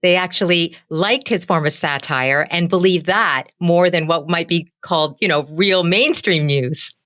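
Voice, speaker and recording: A woman with a lowish voice hosts a podcast interview, asking conversational questions. Her voice is recorded dry and close, with no room sound. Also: measured pace, a bit bright, no echo. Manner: conversational, encouraging listener sounds. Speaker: podcast host.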